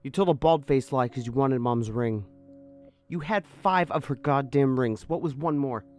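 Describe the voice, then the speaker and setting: A man's voice: medium pitch, moderate pace, darkish tone, soft background music, close to an everyday voice. A man playing a seething wronged husband in an audio story, snarling and bitter. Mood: seething accusation.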